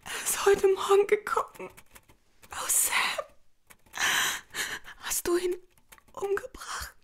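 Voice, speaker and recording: Radio drama: clinging widow, very high-pitched, slow, breathy, pleading. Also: female voice, level tone, whispered. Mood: tearful, pleading. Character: needy, pleading widow, secret lover of detective. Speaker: actress in a radio crime drama.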